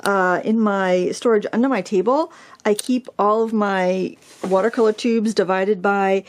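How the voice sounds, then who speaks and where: A woman's voice: mid-range voice, steady tone, a little slow. Woman, Maine accent, craft vlogger, rambling self-deprecating chat, handheld camera mic, indoor craft room. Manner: rambling, self-deprecating, many ums and likes. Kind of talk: casual chatty vlog while tidying.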